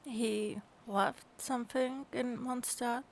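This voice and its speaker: Slow, flat, higher-pitched woman streamer. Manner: halting, thinking aloud. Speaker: game live streamer. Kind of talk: casual stream commentary and dialogue reading.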